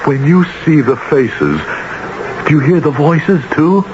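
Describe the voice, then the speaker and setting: A man's voice: a little high, lively intonation, slow. A male radio drama actor playing a haunted, desperate gunman who is brooding, intense and abrupt. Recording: muffled noisy old radio recording.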